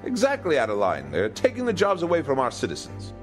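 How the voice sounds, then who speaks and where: A pompous, dim-witted medieval king, played by a man in a comedic audio drama. His pitch leaps about dramatically as he blusters in stiff, formal phrases, stubborn and defensive. Music plays behind him. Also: huge pitch swings, a little fast. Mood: blustering. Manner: pompous, blustering, formal diction without contractions.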